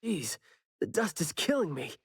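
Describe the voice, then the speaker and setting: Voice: medium pitch, sing-song, normal speed, breathy edge, dry recording, clean studio sound. An actor voicing a laid-back, philosophical investigator in a video game, casual, wry and musing. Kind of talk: game voice acting.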